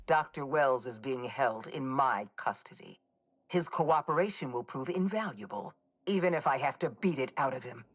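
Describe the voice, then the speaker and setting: Husky, cold military commander. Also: female voice, rumbling low, lively intonation, heavily acted. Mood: stern, resolute. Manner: formal, measured, menacing. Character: cold, stern military commander, weary and ruthless. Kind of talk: video game villain monologue.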